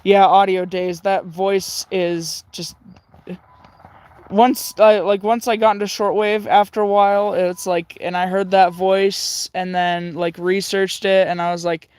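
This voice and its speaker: Clear-voiced young man, hesitant. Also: sits high, flat delivery, a little slow, darkish tone. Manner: hesitant, many "uh" fillers, thinks aloud. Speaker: livestream host tuning shortwave radio. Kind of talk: casual livestream commentary.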